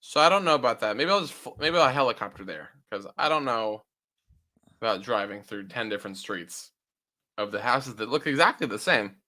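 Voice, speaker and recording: Lively bright-voiced young man. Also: medium pitch, brisk. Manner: joking, teasing, jumpy delivery. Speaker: podcast co-host. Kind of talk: casual chat.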